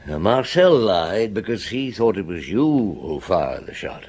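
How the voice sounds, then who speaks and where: An actor playing a patient, shrewd, mature police chief inspector in a radio drama, measured and understated. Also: male voice, fairly low pitch, very animated, darkish tone, husky, exaggerated voice. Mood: gentle, probing. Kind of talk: radio drama acting with first-person narration.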